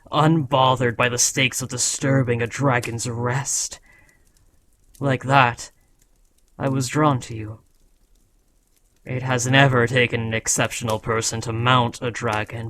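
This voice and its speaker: A man's voice: mid-range voice, little pitch movement, a little slow, a bit bright, a little hiss or hum, natural voice. A man voicing an ancient, proud dragon who scolds a beloved rider in a solemn, formal, dramatic fantasy roleplay. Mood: solemn, tender.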